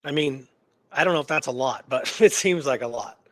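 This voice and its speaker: A man's voice: mid-range voice, brisk, fairly bright tone, no room sound. A man hosting a talk show, telling a historical story in a casual, colloquial, earnest and engrossed way. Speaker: radio show host telling a true story.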